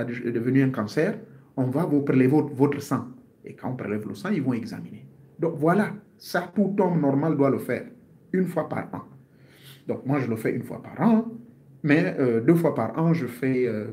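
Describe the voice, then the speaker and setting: A man's voice: medium pitch, mellow. A man in his fifties, a martial artist, giving health advice to his viewers in an earnest, informal monologue, often repeating himself and searching for words. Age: 50s.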